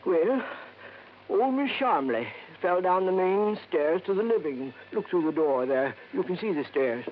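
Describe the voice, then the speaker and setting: A man's voice: high voice, animated, a little slow. An actor playing a wizened, folksy, insistent old American man in a wheelchair, in 1950s radio drama style, with clipped, hesitant phrasing. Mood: grave, troubled. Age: older. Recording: dull, noisy old radio recording.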